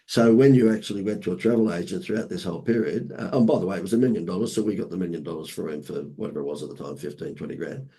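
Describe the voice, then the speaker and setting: Hoarse Australian man telling stories. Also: low voice, very fast, few pauses. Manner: lively anecdotes with playful asides. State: hoarse from laryngitis. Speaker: marketing consultant guest. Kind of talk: casual storytelling interview.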